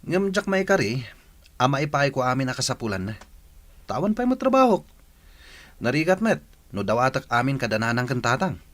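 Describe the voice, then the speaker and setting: A man's voice: mid-range voice, very animated, brisk, pauses often, a bit bright. A male actor playing an evasive young student in a radio drama, defensive and protesting, with a Filipino accent. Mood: uneasy, evasive. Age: young adult.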